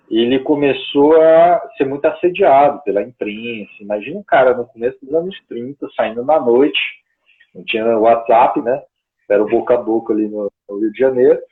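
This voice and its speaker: A man of ordinary pitch talks through a long story as a guest in a relaxed, meandering way. He keeps checking in with the listener through small tag questions. His voice comes through thin and narrow, like a phone line on a video call. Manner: rambling, conversational, frequent tag questions. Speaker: guest researcher. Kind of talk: casual storytelling chat. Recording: tinny phone-like call audio.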